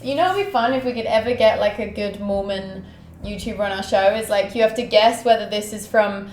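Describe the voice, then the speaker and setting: A woman's voice: mid-range voice, brisk, darkish tone, slight background noise. A woman co-hosting a casual chat, sarcastic and irreverent, joking and swearing freely. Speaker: co-host. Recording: roomy, echoey indoor sound.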